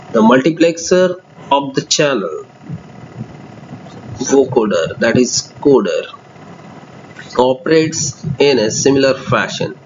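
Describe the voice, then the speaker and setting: A male lecturer walks through a technical diagram at a mid pitch. He reads formal sentences and then drifts into looser remarks of his own. The recording sounds dull and lo-fi, with a faint noise underneath. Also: a little mellow. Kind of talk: explaining a block diagram.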